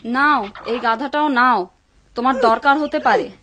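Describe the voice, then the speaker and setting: A woman's voice: fairly high pitch, unhurried, a bit bright, slight background noise. A woman playing a nagging, greedy, bossy wife in a cartoon, pushy and goading. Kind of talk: dubbed cartoon acting.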